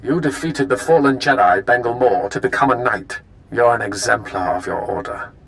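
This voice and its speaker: A man playing a calculating intelligence agent in a video game, speaking in a measured, formal, smugly confident way. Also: mid-range voice, vocal fry, fairly bright tone. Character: cool, calculating male imperial intelligence agent. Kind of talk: scripted video game dialogue.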